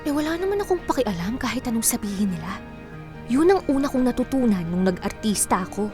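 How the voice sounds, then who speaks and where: A kind provincial woman doctor who was once a child star. Her voice sits somewhat high, and she gives gentle advice and playful exclamations in radio drama style, with music clearly playing behind her. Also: very animated, fast talker. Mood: reluctant. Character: kind provincial pediatrician, former teen star, modest and amused. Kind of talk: Filipino radio drama acting. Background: background music.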